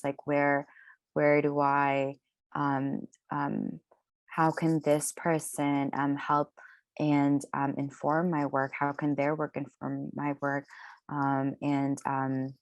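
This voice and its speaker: Low-voiced woman with dry, close sound. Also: monotone, slow, vocal fry, no room sound. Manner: hesitant, many ums, warm agreeing. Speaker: artist. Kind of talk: panel conversation.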